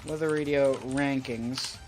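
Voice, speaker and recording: A man's voice: medium pitch, steady tone, measured pace. A man co-hosting a livestream in casual chat, thinking aloud hesitantly into a close microphone. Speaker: live streamer co-hosting. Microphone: close XLR microphone on a stand. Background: music and keyboard typing.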